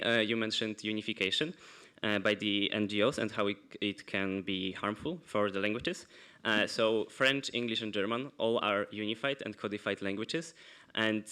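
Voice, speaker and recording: A young man answering in a formal debate at a youth assembly, pausing often on fillers. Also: lowish voice, pushed and bright, clean tone. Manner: hesitant, many filler pauses. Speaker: committee delegate answering a speech. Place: large assembly hall.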